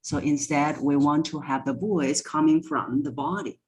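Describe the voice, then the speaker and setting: A woman's voice: low-pitched, steady tone, moderate pace, rough recording. Woman coach explaining step by step. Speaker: voice coach, podcast guest. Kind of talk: explanatory interview answers.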